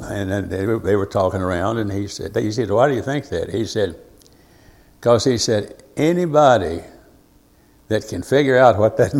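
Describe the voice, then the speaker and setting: Older male preacher, lowish voice, informal and meandering Bible teaching with frequent asides. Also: creaky, a little mellow. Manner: rambling, folksy, halting, full of asides and self-told anecdotes. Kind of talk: informal sermon to a congregation.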